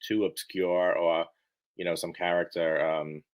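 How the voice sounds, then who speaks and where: Deep male voice, guy in a casual interview, rambles and restarts a lot. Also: flat delivery. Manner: halting, rambling, restarts sentences often. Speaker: book editor being interviewed.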